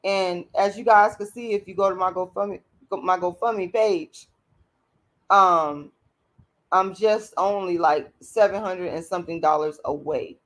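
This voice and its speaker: A hoarse woman, hosting a late-night livestream and talking bluntly and emphatically to her viewers. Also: on the low side, unhurried. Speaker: channel host.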